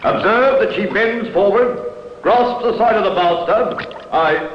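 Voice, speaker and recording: An actor playing a methodical Scotland Yard inspector who explains calmly and precisely. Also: male voice, sits high, a little slow, a little hiss or hum. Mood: demonstrating. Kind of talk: 1950s radio drama acting. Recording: dull old broadcast in a reverberant room.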